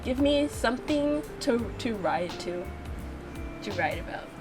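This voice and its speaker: A woman giving blunt, slangy, expressive reactions as she plays through a game as a channel host. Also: on the high side, a little slow. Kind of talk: playthrough reaction, reading dialogue aloud with casual commentary. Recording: music behind the voice. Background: music.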